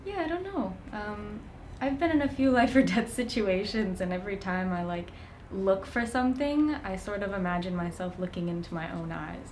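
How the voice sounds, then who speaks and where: Reflective young woman with a lowish voice. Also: a little mellow, slight background noise. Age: young adult. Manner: reflective, laughs often. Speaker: volunteer. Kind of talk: casual conversation. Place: charity kitchen.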